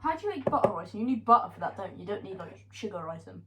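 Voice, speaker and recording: A woman's voice: medium pitch, very animated, rapid-fire, very noisy. A woman joking around while baking in a home kitchen, often trailing off into mumbles. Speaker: co-host baking for a video. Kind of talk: casual chat.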